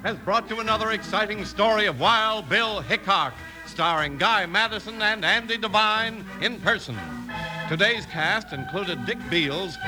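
A man's voice: sits high, rough recording. A man with an American accent doing scripted announcing and ads in 1950s radio style. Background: music.

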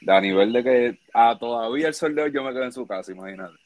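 A Puerto Rican man in his twenties, a baseball pitcher, chatting casually in an interview with a relaxed, laid-back manner. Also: fast. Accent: Puerto Rican Spanish. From Puerto Rico. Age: 20s.

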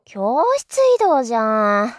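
A blunt, lazy tomboy schoolgirl in a voice drama, voiced by a woman. She speaks slowly and in a boyish way, but her voice is very high and swings widely. The sound is dry and close. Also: expressive, no echo. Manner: blunt, boyish speech, drawn out.

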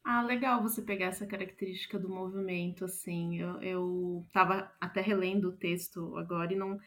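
A woman's voice: fairly low pitch, fairly even intonation, brisk, a bit bright. A Brazilian woman, a playwright being interviewed, thinking aloud and often searching for her words. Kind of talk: reflective interview conversation.

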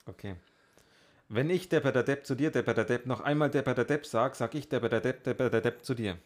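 A man's voice: medium pitch, fairly quick. A man co-hosting a podcast in casual chat. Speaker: podcast co-host.

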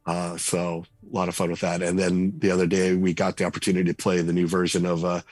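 A man, a game designer and writer, talking reflectively as a podcast guest, pausing often on fillers. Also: low voice, monotone, a little mellow, no room sound, faint music behind. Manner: reflective, hesitant with frequent fillers. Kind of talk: casual interview talk.

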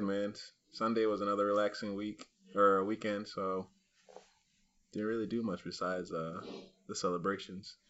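A man's voice: low voice, fairly bright tone, lo-fi sound. A man co-hosting a podcast, easygoing and chatty, with lots of "uh"s and frequent laughs. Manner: easygoing, laughs often, filler-heavy. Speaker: podcast co-host. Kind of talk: casual chat.

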